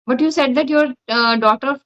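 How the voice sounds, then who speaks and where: A woman's voice: sits high, moderate pace. A woman in her thirties with an Indian accent, sharing her views earnestly in a casual chat. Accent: Indian English. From Uttar Pradesh, India. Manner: earnest, with short affirming interjections. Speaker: conversation partner. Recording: dull, lo-fi sound.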